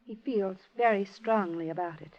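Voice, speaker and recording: A woman's voice: mid-range voice, normal speed, close to an everyday voice. An actress in a 1950s radio drama playing a refined, guarded married lady, plaintive and formal, with an old-fashioned dignified inflection. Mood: nervous courtesy. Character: refined, guarded married lady, sensitive former Communist, proud. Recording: muffled lo-fi old radio recording.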